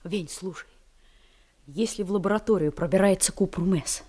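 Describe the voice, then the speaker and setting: A woman's voice: lowish voice, normal speed, mellow, a little hiss or hum, close to an everyday voice. A woman playing a serious, curious schoolboy in a children's radio play, reasoning earnestly and asking questions. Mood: earnest, deliberating.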